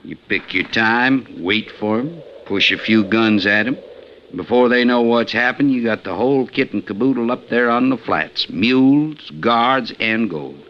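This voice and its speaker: An actor playing a brash, boastful, ruthless gold robber in an old-time radio western, with a talkative, folksy, blunt and menacing way of speaking. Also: male voice, mid-range voice, a little slow, natural voice. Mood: scheming, callous. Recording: lo-fi 1950s radio recording, faint noise.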